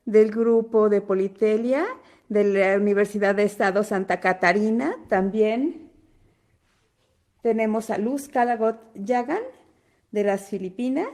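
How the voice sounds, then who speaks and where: An Argentine woman hosting a live online webinar over a video call, greeting attendees one by one in an unhurried way. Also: medium pitch, a little mellow. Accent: Argentine Spanish. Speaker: webinar host.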